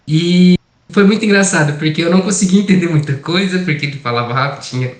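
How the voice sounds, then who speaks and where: Brazilian male co-host, a bit high voice, echoey room on a call, loose slangy chat. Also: fast talker. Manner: casual, with slang asides. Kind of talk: casual interview chat.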